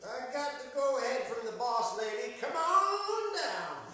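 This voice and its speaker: A hobbling servant of the underworld played by a man beckons in a very high, bright voice. He drawls his slangy words very slowly, as if on a stage. It echoes heavily in a church hall, far from the microphone. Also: expressive, a little hiss or hum, heavily acted. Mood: slangy beckoning. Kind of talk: amateur stage dramatic reading. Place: church, performed live.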